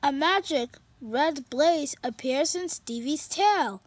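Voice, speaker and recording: A storyteller reading a children's picture book aloud in an expressive, animated way. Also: high-pitched, takes it slowly, no room sound. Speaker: storybook reader.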